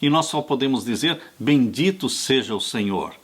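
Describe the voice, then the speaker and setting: Pastor in his 60s, earnest sermon delivery, husky voice with a lively, rising and falling pitch. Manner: earnest, slow pastoral preaching, warmly addressing listeners as "dear ones". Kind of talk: sermon on a Bible study lesson.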